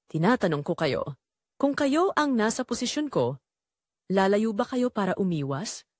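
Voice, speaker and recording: A Filipino woman narrates a dramatic first-person story. Her pitch is mid-range but moves widely, and she delivers short, emotive phrases with deliberate care. The recording is dry and close, with little sense of a room. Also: no room sound.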